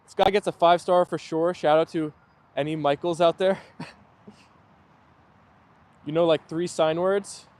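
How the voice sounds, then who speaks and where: A man's voice: a little high, steady tone, a little slow, pauses often, a little mellow. A man hosting a casual live stream outdoors, chatting in a friendly way and answering viewer comments.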